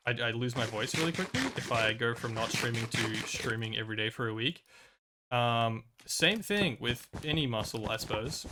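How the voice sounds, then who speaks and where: A man's voice: mid-range voice, background noise. A young man streaming a video game, chatting casually and rambling with lots of fillers and restarts, his voice a little worn from a recent cold. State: recovering from a cold and lost voice. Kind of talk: casual chat while playing.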